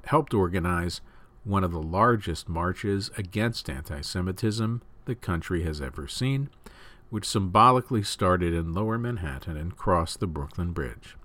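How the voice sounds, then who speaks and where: A man's voice: fairly low pitch, a bit bright, no echo. A man reading scripted news stories as the host of a news program.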